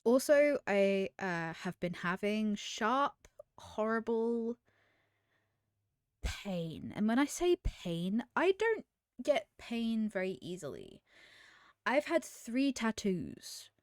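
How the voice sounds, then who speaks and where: A woman's voice: mid-range voice, sing-song, slow, clear, resonant tone, no echo, studio quality. A woman, chatting candidly to camera as a streamer, often trailing off and restarting. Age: 20s. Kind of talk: casual chat to camera.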